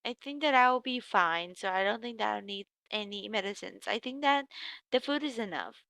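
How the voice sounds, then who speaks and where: A woman's voice: mid-range voice, expressive, normal speed, gravelly, pushed and bright, dry recording. A woman in her twenties chatting casually as an English tutor, telling stories full of "like" and acting out what people said. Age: 20s. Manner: chatty storyteller, many "like"s, acts out quotes. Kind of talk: casual conversation practice.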